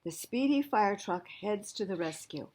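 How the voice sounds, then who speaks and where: A woman reading a picture book aloud to young children as a library storyteller, pausing to explain things simply. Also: mid-range voice, expressive, a little mellow.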